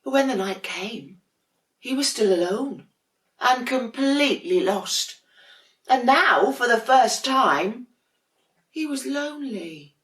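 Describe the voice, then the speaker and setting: A woman, a teacher, reading a children's storybook expressively with dramatic pauses in an echoey room. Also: on the high side, lively intonation, fairly bright tone.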